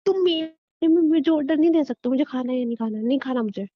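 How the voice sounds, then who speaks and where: A woman playing a stubborn, defiant young wife in a staged call drama, sharp and unyielding. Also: high-pitched, fast talker, dry recording, highs cut off, lightly acted. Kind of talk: scripted domestic drama.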